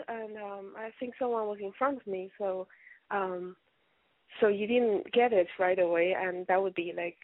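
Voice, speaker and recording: A woman from China calling in to a radio show, hoarse from bronchitis, hesitant with many fillers. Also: medium pitch, no room sound. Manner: hesitant, filler-heavy, giggly. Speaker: caller. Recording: tinny phone line, dry.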